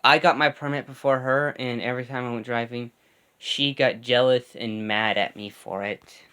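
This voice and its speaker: A young man with a slight lisp and a smooth, clear tone. He chats casually in a couple's vlog, now and then stammering as he tells a story. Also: mid-range voice, fairly even intonation, pushed and bright. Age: young adult. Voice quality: slight lisp from a gap in his front teeth. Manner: halting, stammers at times. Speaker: vlogger. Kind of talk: casual chat.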